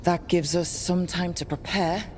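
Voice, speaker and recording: A performer playing a steady, perceptive team leader in a fantasy video game, speaking directly and conversationally. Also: mid-range voice, unhurried, a little hiss or hum, close to an everyday voice. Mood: sincere, curious. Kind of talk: naturalistic video game acting.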